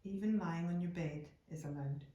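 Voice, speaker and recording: A woman guiding a yoga nidra meditation in a calm, unhurried, soothing way, leaving deliberate pauses between phrases. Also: fairly low pitch, lo-fi sound. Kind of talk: slow guided meditation narration. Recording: echoey room, distant from microphone.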